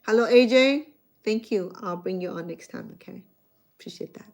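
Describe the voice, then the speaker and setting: A woman's voice: mid-range voice, moderate pace. Middle-aged Cambodian-accented woman chatting. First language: Khmer. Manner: rambling, repeats words as she restarts, chatty with viewers. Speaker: chef hosting a live stream. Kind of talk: casual live-stream chat with viewers.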